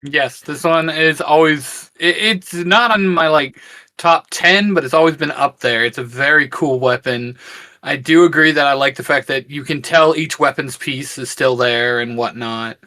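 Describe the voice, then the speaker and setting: A man with a fairly high, very bright voice. He talks casually as the co-host of a fan show, sharing opinions in an informal, conversational way. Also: moderate pace. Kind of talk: casual geek chat.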